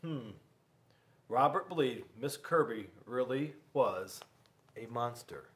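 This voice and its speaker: A middle-aged man reading a children's story aloud in a classroom, expressively, with dramatic pauses and questions to young listeners. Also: medium pitch, expressive, fairly bright tone. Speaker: school resource officer reading to children. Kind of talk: animated storybook read-aloud. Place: small school classroom, indoors.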